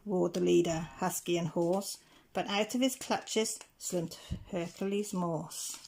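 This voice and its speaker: A woman reading rhyming picture-book stories aloud in a measured, rhythmic way. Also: lowish voice, fairly even intonation, gravelly, darkish tone, a little hiss or hum. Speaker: children's story reader.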